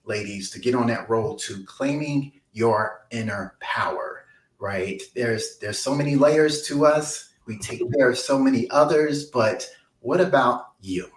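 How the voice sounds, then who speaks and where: A man hosting an interview, introducing his guest effusively with many pauses and repeated phrases. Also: medium pitch. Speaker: interview host. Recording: echoey room.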